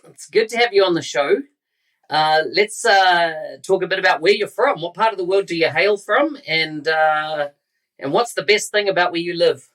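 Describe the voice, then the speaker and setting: A man's voice: high voice, moderate pace, a bit bright. A man hosting a podcast, introducing a guest and chatting in a friendly, conversational way. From New Zealand. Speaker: podcast host.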